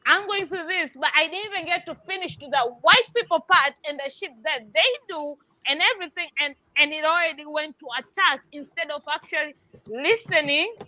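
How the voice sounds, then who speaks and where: A woman's voice: high-pitched, brisk, bright, forceful tone, no echo, highs cut off. A woman from Tanzania, a guest on a podcast, insisting on finishing her point and frustrated at being cut off. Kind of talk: heated casual debate.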